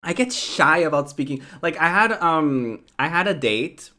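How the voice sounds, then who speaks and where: A woman's voice: sits low, expressive, a little slow, sharp tone. A young woman from Portugal, Portuguese by birth, who speaks English with an American accent she picked up from online friends. She streams and chats loosely with her viewers, laughing a lot and wandering through her thoughts with plenty of "like" and "um". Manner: giggly, rambling, says "like" and "um" a lot. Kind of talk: casual chat with live viewers.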